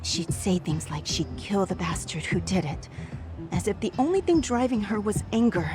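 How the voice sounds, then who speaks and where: An actress playing a gentle woman who recalls an old friend's past in a conversational, wistful way for a video game cutscene. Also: medium pitch, animated, moderate pace, natural voice. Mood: somber, sympathetic. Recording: studio voice with music behind.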